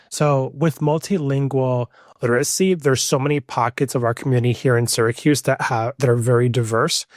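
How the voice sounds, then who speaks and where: A man's voice: mid-range voice, dry recording, clean studio sound. A young adult man, a native Spanish speaker, hosting a podcast alone and stressing his points earnestly with frequent repetition. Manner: earnest, emphatic, repeats key points. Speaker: podcast host. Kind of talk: solo podcast monologue.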